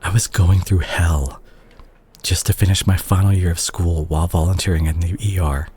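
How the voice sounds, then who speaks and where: A man playing a remorseful, guilt-ridden doctor ex-boyfriend in an intimate romantic audio drama, speaking haltingly and tenderly with a husky voice. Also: deep voice, little pitch movement, darkish tone, a little hiss or hum, lightly acted. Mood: regretful, subdued. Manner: halting, emotional, with sighs and repeated words. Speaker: audio roleplay performer.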